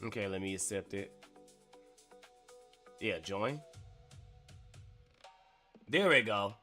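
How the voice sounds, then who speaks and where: A hoarse man hosting a casual live-stream chat, telling stories in an excitable, slangy way. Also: lowish voice, takes it slowly, faint music behind. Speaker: streamer host.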